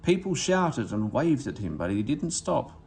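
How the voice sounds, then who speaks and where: A man reading a children's storybook aloud as an expressive narrator. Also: medium pitch, measured pace, a little hiss or hum. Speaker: story reader.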